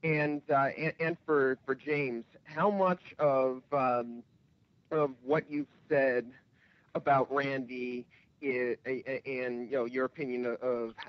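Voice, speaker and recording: Halting man on a dry, dull phone line. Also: medium pitch, steady tone, no room sound. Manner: halting, stammering. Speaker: reporter. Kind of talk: asking questions on press call. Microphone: telephone.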